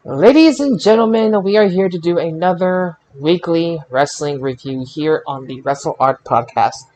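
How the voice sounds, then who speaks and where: A man hosting a casual group discussion about sport, in a halting way with repeated words. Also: fairly high pitch, darkish tone. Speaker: podcast host.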